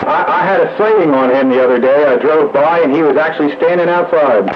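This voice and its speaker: Male radio operator, slightly high voice, relaxed chat, dull transmission. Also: a little fast, a crowd in the background. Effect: two-way radio transmission. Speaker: amateur two-way radio operator. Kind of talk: casual radio banter. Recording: muffled, noisy two-way radio audio.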